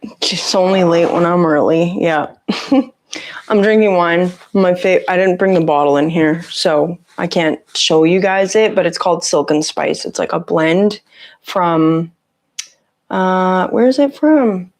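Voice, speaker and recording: A woman with a raspy voice, sick with a cough, chatting casually on a livestream from her home office on a lapel mic and greeting viewers by name. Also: fairly low pitch, measured pace, a little mellow. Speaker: livestream host. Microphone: lapel mic on her shirt.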